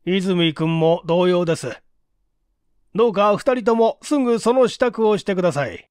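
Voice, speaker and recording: A man's voice: high-pitched, normal speed, darkish tone, clear, resonant tone, no room sound, studio quality, close to an everyday voice. A man voicing a grave, authoritative police chief in a mystery story, solemn, formal and probing.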